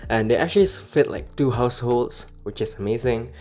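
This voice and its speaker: A young Indonesian man with an Indonesian accent, giving relaxed, rambling commentary over city-building gameplay, full of fillers and self-corrections. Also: mid-range voice, a little fast. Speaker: city-builder video creator. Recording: voice-over with faint background music.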